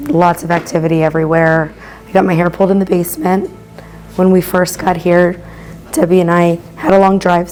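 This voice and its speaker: A hoarse woman losing her voice, a visiting investigator gushing about her experiences. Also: lowish voice, a little mellow, a little hiss or hum, low-quality recording. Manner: gushing, enthusiastic.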